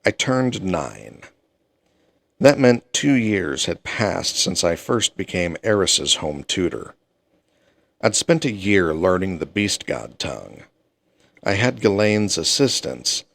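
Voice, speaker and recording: A man reading a fantasy novel aloud in the first person, at an even, unhurried pace. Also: low-pitched, vocal fry, no room sound. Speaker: audiobook reader.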